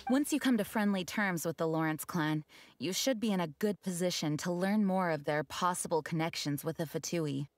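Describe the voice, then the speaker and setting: A woman playing a dutiful, diplomatic knight commander in a fantasy game, speaking formally and earnestly in measured phrases. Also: lowish voice, a little hiss or hum, close to an everyday voice. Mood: composed, earnest. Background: game music.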